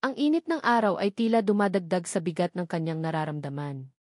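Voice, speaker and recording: A woman's voice: lowish voice, brisk, a little mellow, no room sound, clean studio sound. A woman reading scripted dramatic story narration as an audiobook narrator.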